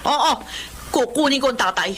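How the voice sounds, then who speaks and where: A woman's voice: high-pitched, expressive, moderate pace, a bit bright, slight background noise. A woman acting a blunt, reproachful eldest daughter in a Filipino family drama. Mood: alarmed, commanding. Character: bitter, accusing eldest daughter, successful breadwinner.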